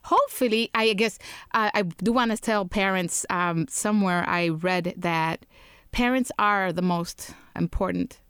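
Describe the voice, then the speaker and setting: A woman's voice: medium pitch, measured pace, a bit bright, smooth, no echo, clean studio sound. Woman co-hosting a podcast. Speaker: podcast co-host.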